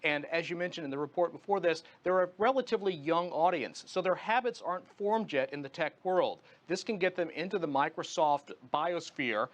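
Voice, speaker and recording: Higher-voiced man giving news commentary. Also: animated, a little fast, hardly any pauses, lo-fi sound. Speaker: guest commentator. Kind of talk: live news interview commentary.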